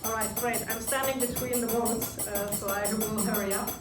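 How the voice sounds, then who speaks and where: A woman's voice: fairly high pitch, fairly even intonation, moderate pace. A woman in her thirties with a German accent speaks earnestly at an awards evening, stopping on small fillers as she goes. She is heard from some way off in a big echoing room, with music behind her. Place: large echoey function room. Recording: echoey room, distant mic, music behind.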